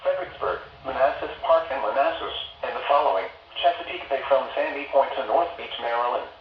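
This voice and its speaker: A man's synthetic American voice reading scripted weather bulletins in a formal, stilted way, as an automated announcer on weather radio. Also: mid-range voice. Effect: weather radio broadcast. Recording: muffled, faintly noisy, echoey radio broadcast.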